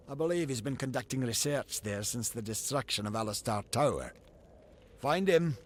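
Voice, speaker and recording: An actor playing a haughty, scheming gnome patron in a fantasy video game, speaking in a pompous, measured, condescending way. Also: male voice, medium pitch, a little hiss or hum, lightly acted.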